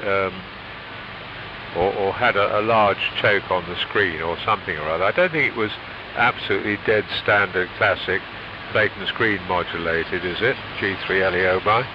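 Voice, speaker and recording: Low-voiced man on hissy muffled radio. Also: moderate pace. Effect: radio transmission. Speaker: amateur radio operator. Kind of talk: casual ham radio chat. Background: radio hiss.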